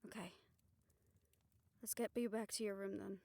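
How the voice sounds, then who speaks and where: A woman playing a level-headed young hunter and caring leader in an audio roleplay, practical and calming in manner. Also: mid-range voice, moderate pace, vocal fry, dry recording. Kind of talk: dramatic audio roleplay acting.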